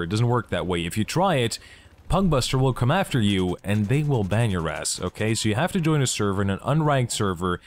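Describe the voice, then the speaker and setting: A young man from Belgium, talking enthusiastically and jokingly in a casual gaming vlog. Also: fairly low pitch. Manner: enthusiastic, joking, asks himself questions. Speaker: gaming YouTuber.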